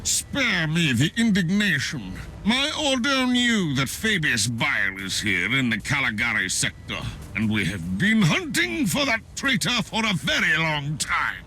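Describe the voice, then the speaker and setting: A stern, unyielding holy inquisitor from a game drama. His delivery is solemn and declamatory, slow and very animated in pitch, with faint music behind. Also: heavily acted, low-quality recording. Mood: stern, dismissive. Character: stern male righteous zealot inquisitor, imperious and unyielding. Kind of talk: dramatic video game acting.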